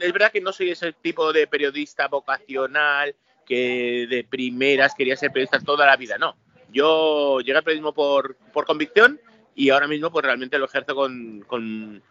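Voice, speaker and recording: A man's voice: mid-range voice, pushed and bright, dull audio. A man from Spain with a Madrid accent, a journalist guest answering interview questions hesitantly, with restarts and fillers. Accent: Madrid Spanish. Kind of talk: casual interview.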